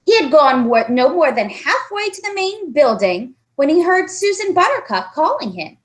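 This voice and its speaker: A woman reading a children's story aloud as an expressive narrator. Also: sits high, moderate pace. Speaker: storybook reader.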